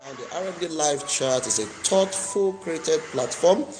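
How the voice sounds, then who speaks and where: A Nigerian man hosts an interview with a slightly high voice. He talks in a measured way and often rephrases his words. Soft music plays faintly behind him. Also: animated. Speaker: host.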